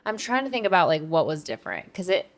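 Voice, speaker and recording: A woman talks casually as a podcast co-host, her voice sitting slightly on the low side. She is informal and slangy, working through her thoughts out loud in everyday conversational language. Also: sing-song, fast. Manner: informal, slangy, thinking aloud.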